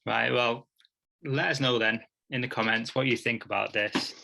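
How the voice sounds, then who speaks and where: A man's voice: mid-range voice, steady tone, moderate pace, creaky, bright, forceful tone. A man hosting a casual podcast discussion, making his points emphatically and restarting to stress them. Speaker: podcast host.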